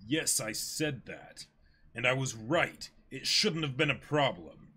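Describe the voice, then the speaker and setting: A man voicing a contemptuous pirate captain, stern and measured, in a dramatic manga reading. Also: mid-range voice, expressive, sharp tone, slightly rough, exaggerated voice. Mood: cold stern. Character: cold, contemptuous pirate captain scolding underlings.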